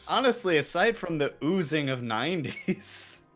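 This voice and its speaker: A man's voice: fairly high pitch, sing-song, moderate pace, fairly bright tone. A man chatting casually on a group livestream, given to wry understatement. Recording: music playing behind the voice. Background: music.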